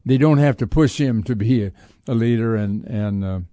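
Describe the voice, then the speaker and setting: Male sports talk host, dark-toned voice, casual stop-start commentary, no room sound. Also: mid-range voice, moderate pace, dry recording.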